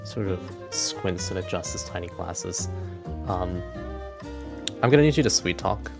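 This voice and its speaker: Low, creaky-voiced male game master. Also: animated, a little slow. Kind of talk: casual tabletop game narration. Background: music.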